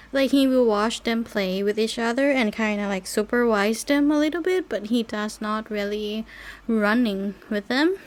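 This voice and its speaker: A woman who is a non-native English speaker, chatting casually and in a rambling, giggly way as she hosts a solo podcast. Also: fairly high pitch. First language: not English. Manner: rambling, giggly, often trailing into small asides. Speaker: podcast host. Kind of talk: casual solo chat with personal anecdotes.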